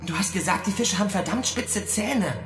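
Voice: medium pitch, lively intonation, normal speed, fairly bright tone, roomy sound, faint music behind, close to an everyday voice. A performer playing a nervous, skeptical boy who grumbles and protests in a children's audio drama. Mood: alarmed, grumbling.

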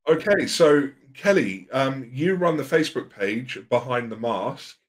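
A man in his mid-thirties hosts a podcast conversation, warm in his questions but a little hesitant, filling gaps with ums and uhs. His voice sits in an ordinary middle register. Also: mid-range voice, lively intonation, unhurried. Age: 30s. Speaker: podcast host. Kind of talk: casual podcast interview.

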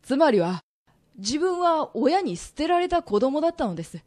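A suave young merchant man of old Japan, acted by a woman in a radio drama. The voice is high and closely recorded without echo, with polite, deliberate phrasing. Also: big pitch swings, dark tone, clean studio sound. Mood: composed, earnest.

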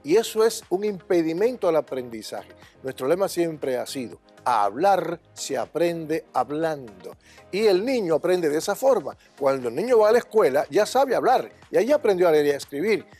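A man's voice: fairly high pitch, sing-song, moderate pace, a little mellow, slightly rough, soft background music. An older man speaking as a language school director in a TV studio interview, with an emphatic, confident, instructive way of talking. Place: TV studio set.

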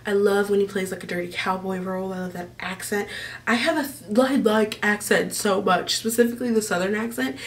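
A woman's voice: mid-range voice, steady tone, brisk, distant mic. A young American woman chatting casually to camera as a vlog host, gushing and rambling as she goes. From Maryland, United States. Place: small decorated room indoors.

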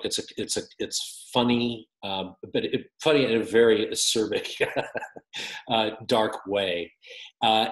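A man in his fifties, an author guest, answering interview questions on a video call with many restarts and fillers. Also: lowish voice. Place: home room with windows. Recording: roomy echoey call audio.

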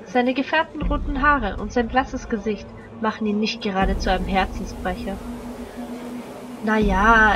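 Woman acting confident young society girl in a radio play, slightly high voice, headstrong. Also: noisy background, natural voice. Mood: dismissive. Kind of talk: German amateur audio drama dialogue.

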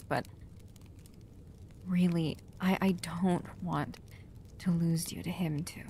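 A woman acting a protective, doting human caretaker of a vampire in an intimate, soft-spoken ASMR roleplay, often dropping toward a whisper. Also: lowish voice. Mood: apologetic, gentle. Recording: clear background noise and ambience.

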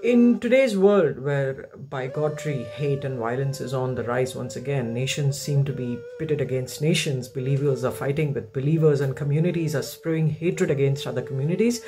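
A woman reading a prepared message earnestly, with deliberate emphasis. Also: a bass voice, warm tone. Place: indoor room at home. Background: music.

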